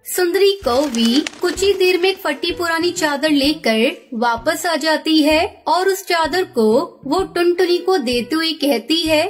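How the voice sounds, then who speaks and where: Very high-voiced woman narrating a story. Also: lively intonation, takes it slowly, few pauses, faint music behind.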